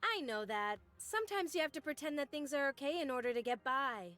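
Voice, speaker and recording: A flippant, world-weary young female soldier in a video game. Her voice is high and her delivery wry and nonchalant, with music underneath. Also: animated, fairly quick, a bit bright. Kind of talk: game cutscene voice acting.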